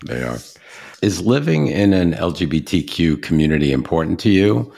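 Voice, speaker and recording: A chatty man with a Brooklyn accent hosts a relaxed interview. His voice sits very low, with a gravelly, husky edge. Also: normal speed. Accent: Brooklyn New York. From Brooklyn, New York. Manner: chatty, acts out little phone dialogues. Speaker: podcast host. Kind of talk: casual interview chat.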